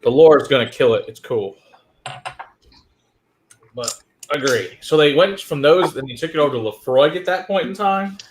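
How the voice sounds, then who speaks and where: A man co-hosting a whiskey podcast in casual chat, relaxed and asking short questions. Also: a little high, moderate pace, long pauses, a little hiss or hum. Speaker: podcast co-host.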